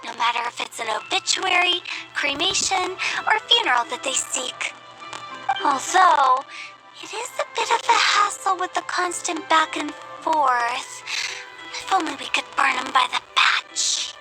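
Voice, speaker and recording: An eccentric young female funeral director from a video game. The voice is very high and chirpy, playful and theatrical like a keen salesperson, with music clearly behind it. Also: expressive, unhurried, rough recording. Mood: enthusiastic, scheming.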